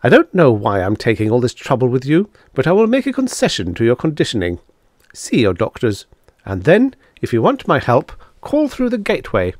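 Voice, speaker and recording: Man voicing a suave, condescending devil, lively pitch. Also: normal speed, dry recording, studio quality. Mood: lofty, confident. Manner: condescending, matter-of-fact, lecturing. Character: suave male devil, proud, coolly bargaining. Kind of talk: audiobook character dialogue.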